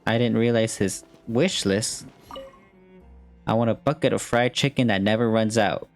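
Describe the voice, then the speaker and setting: A man's voice: lowish voice, moderate pace. A man giving casual, slangy gameplay commentary as a video host, sometimes reading game text aloud. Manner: slangy, informal. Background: game music.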